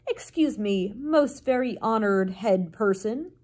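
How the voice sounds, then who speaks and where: A woman's voice: mid-range voice, animated, takes it slowly, a little mellow. A woman voicing a small, polite male mouse in a storybook, earnest and formal as he pleads. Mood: polite, loud. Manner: formal, deferential, insistent. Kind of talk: storybook character voice.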